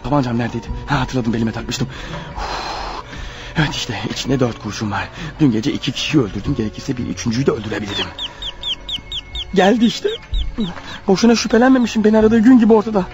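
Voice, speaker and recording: A man's voice: mid-range voice, fast, over music, natural voice. A man acting in a radio play as a panicky, paranoid killer husband on the run, stammering and muttering anxiously to himself. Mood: flustered, urgent. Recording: dull lo-fi radio drama recording.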